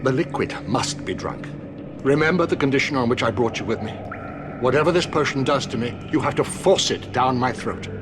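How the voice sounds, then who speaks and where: A man playing a grave elderly wizard headmaster in a video game, speaking in a formal, measured, solemn way. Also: medium pitch, expressive, over music, close to an everyday voice. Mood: grave, commanding. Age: older. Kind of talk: dramatic video game acting.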